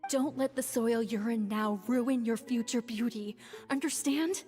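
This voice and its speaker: Woman as gentle, high-voiced, slow-speaking mother. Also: natural voice. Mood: tender, soothing. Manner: soothing, tender. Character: gentle, loving, self-sacrificing young mother. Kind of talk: video game voice acting. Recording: long reverb, faint music behind.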